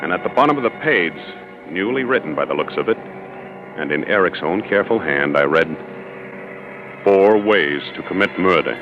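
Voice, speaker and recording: An actor playing an uneasy, suspicious hunter, brooding and deliberate, in a 1940s radio suspense drama. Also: male voice, deep voice, animated, music in the background. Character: uneasy, suspicious hunter narrating his own peril. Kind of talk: 1940s radio acting, first-person narration. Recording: dull old 1940s radio recording.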